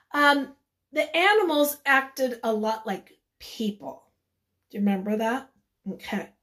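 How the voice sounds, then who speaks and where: A woman teacher leading a read-aloud lesson for young children, encouraging and instructive, pausing after simple questions. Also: on the high side, fairly bright tone. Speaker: elementary school teacher.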